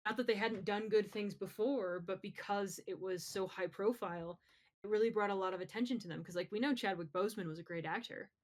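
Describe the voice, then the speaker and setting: Female podcast co-host, middle pitch, casual chat, conversational, opinionated. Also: a little fast. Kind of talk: casual pop-culture chat.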